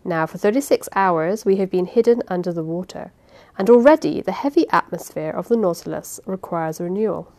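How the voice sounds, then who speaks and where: A woman voicing a calm, cold male sea captain who speaks in a measured, lecturing way. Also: fairly low pitch.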